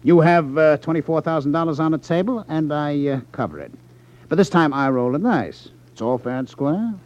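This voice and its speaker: An actor playing a menacing, short-tempered crooked gambling boss with an American accent and a gruff, sneering manner in a 1950s radio drama. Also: male voice, a little high, animated, normal speed, darkish tone, dull audio, close to an everyday voice. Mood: mock-polite menace.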